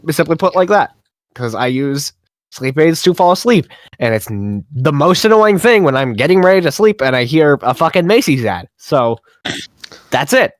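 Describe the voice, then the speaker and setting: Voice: mid-range voice, no echo, studio quality. A podcast moderator stating opinions bluntly and swearing casually. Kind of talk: casual group discussion.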